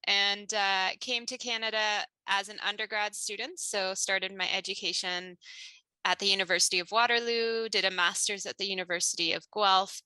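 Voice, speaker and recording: A woman's voice: medium pitch, pushed and bright, no echo, rough recording. A woman in her thirties who grew up in Malaysia, a scientist answering interview questions thoughtfully, with many restarts and fillers.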